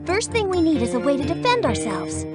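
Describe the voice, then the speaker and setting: A woman's voice: sits high, very animated, fairly quick, over loud music. A woman voicing a decisive, wisecracking young adventurer who leads a team in a children's computer game. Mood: confident, wry. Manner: decisive, slangy.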